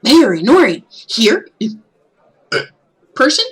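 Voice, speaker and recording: A woman voicing an excited young student in a visual novel reading, with an eager manner. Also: fairly high pitch, slow, slightly rough, voice pushed up, full character voice. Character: excited young students gossiping about a visiting mayor.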